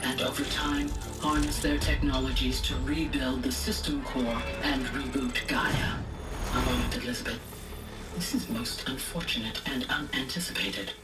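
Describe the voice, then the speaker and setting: A woman playing a calm, formal artificial intelligence in a video game, speaking with measured precision. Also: extremely low pitch. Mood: urgent but formal. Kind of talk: scripted game voice acting, formal recorded message. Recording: echoey, distant, under loud music.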